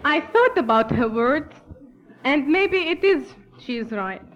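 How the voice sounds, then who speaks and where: A woman from Bethlehem whose first language is Arabic, speaking English with an Arabic accent, giving an earnest, measured formal address at a church gathering. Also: high-pitched, lively intonation, muffled recording. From Palestine, Bethlehem.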